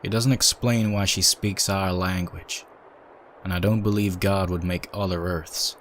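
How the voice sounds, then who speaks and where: A stern, devout man , played by a male reader, speaks low and tersely. He is suspicious and stubborn, with a hard edge, in a dramatic reading over background ambience. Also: fairly even intonation, measured pace, a bit bright, slight background noise. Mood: distrustful. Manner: terse, edgy, distrustful.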